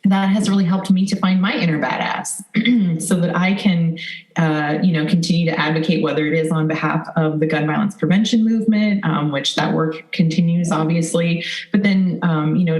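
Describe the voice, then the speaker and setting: Middle-aged Midwestern American woman, podcast guest, lowish voice, informal storytelling, roomy echo. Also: steady tone, moderate pace, darkish tone. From rural southwest Iowa, United States. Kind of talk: casual interview talk. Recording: echoey room sound.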